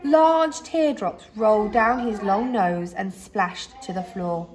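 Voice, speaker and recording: A woman reading a children's story aloud expressively, like a teacher, with dramatic pauses and emphasis. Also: mid-range voice, darkish tone.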